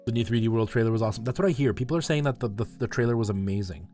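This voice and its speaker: A man's voice: medium pitch, very fast, warm tone. A man streaming, chatting casually with his viewers and explaining things in a conversational way, often checking in with them. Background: music.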